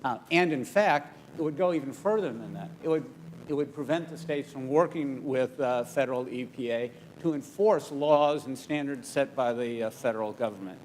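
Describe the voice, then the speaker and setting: A man from California, a state environmental official, giving a press statement in a halting way with many fillers. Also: medium pitch, a little mellow, slight background noise, poor audio.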